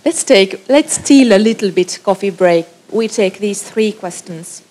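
A woman's voice: medium pitch, expressive, a little slow, a little mellow. A woman moderating a conference session, giving brief plain instructions.

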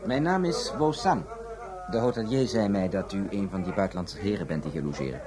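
Courteous, swooping low-voiced businessman. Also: lively intonation, a little fast, music in the background. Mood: courteous, insistent. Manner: formal, courteous, insinuating. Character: polite, smooth male Hong Kong import-export businessman. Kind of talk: radio drama acting.

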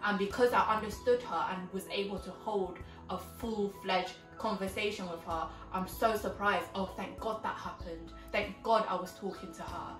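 A woman's voice: mid-range voice, fairly even intonation, moderate pace, few pauses. A young woman from Vietnam co-hosting a casual chat, talking with lots of fillers like um and like. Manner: conversational, many fillers like "um" and "like". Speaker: co-host. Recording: roomy sound, mic at a distance, faint music.

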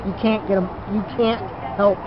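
A man's voice: high-pitched, flat delivery, normal speed, lo-fi sound. Blunt young man on an outdoor field. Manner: blunt, emphatic, swears. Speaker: vlog host. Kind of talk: casual chat. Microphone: handheld camera held by friend. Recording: noisy outdoor camera audio.

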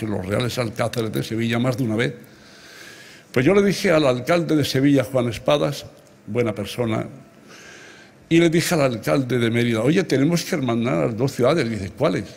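Husky older Spanish man giving a speech. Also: mid-range voice, a little mellow. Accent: Spanish from Spain. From Extremadura, Spain. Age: 70s. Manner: emphatic, rhetorical, addressing listeners directly. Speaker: award recipient giving a speech. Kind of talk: ceremonial public speech. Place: large open-air ancient theatre stage at night, before a big audience. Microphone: lectern microphones. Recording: amplified outdoor speech with crowd sounds.

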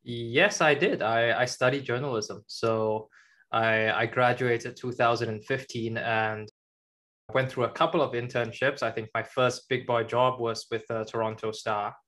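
A young man, born in China and raised in Germany, speaks over a headset on a video call from his home. His voice sits at an ordinary pitch, and his answers come out plain and measured, delivered without fuss. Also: steady tone, a bit bright. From born in China, grew up in Germany. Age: young adult. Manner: plain, measured, matter-of-fact. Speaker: guest, journalist and author. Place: home room with a kitchen behind.

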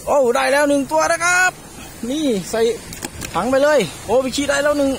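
A man hosting a casual vlog on a beach, giving animated, exclamatory play-along commentary. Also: very high-pitched, brisk, creaky. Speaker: vlog host. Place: outdoors on a sandy seashore. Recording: noisy outdoor recording.

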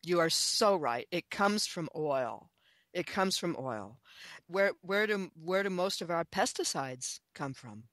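A woman's voice: on the low side, lively intonation, a little slow, a bit bright, no echo, clean studio sound. A woman speaking as an expert guest in an interview, talking with enthusiasm and emphasis, repeating phrases and posing questions. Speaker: guest, author and chicken expert.

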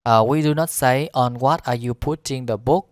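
A man teaching an English lesson. He repeats each phrase carefully and then rattles off his explanation. His voice sits at a middle pitch, and the recording is close and dry. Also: moderate pace, dry recording.

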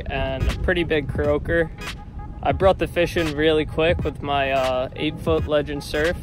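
A young man in his twenties narrating his fishing trip on an ocean beach, talking into an action camera, with surf and wind behind him. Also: a little high. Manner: excitable, shouting when hooking fish. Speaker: fishing vlogger. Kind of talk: excited fishing vlog commentary. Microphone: action camera, head-mounted then handheld.

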